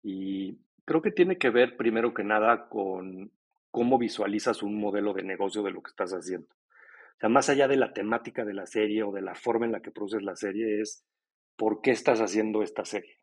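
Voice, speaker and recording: Man, middle-aged, low husky voice, fluent explanatory interview talk. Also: normal speed. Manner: explanatory, fluent, many tag questions. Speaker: podcast company co-founder, guest.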